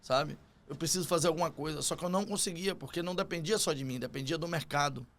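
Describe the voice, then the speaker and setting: Higher-voiced Bahian Brazilian man. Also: quick pace, a bit bright. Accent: Bahian Brazilian Portuguese. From Salvador, Bahia, Brazil. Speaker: podcast guest, construction business owner. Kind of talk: conversational interview storytelling.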